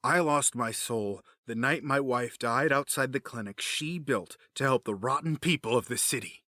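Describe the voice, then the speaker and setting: A man playing a cold, grieving villain in an audio drama, menacing, sardonic and quick to justify himself. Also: medium pitch, bright, forceful tone, no echo, clean studio sound, lightly acted. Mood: bitter, menacing. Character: cold, obsessed male villain, a grieving widower running experiments.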